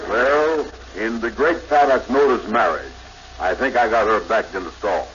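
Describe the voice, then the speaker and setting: A man's voice: fairly low pitch, animated, normal speed, natural voice. An actor playing a simple, amorous, boastful man in a 1950s radio comedy, with folksy, ungrammatical, hesitant bluster. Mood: proud, excited. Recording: noisy, dull vintage radio recording.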